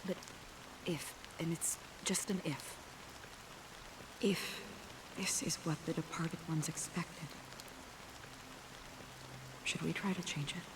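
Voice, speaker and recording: An actress playing a calm, pensive wanderer in a video game, earnest and questioning. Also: female voice, low voice, slow pace, long pauses. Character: calm, pensive wanderer seeking meaning in nothingness. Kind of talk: scripted video game drama. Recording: game audio with rain noise behind.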